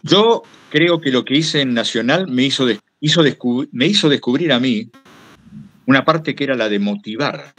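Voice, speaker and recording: A man's voice: medium pitch, expressive, a bit bright. Older Argentine man, reflective storyteller. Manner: rambling, halting, repeats phrases for emphasis. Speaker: guest speaker, retired radio broadcaster. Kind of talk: reflective anecdotal talk to a workshop.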